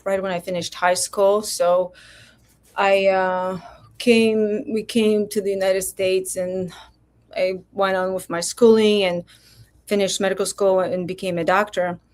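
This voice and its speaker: A woman's voice: mid-range voice, little pitch movement, unhurried, a little mellow. A middle-aged woman from Poland, a physician guest telling her story in a candid, reflective way with many hesitations. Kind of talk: candid personal interview talk.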